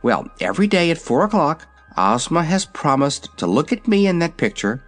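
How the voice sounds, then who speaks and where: Man voicing a bright, lively young storybook girl. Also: fairly high pitch, normal speed, soft background music, voice pushed up. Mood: bright, confident. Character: young girl, cheerful, confident, reassuring, from a fairy tale. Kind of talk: storybook character voice.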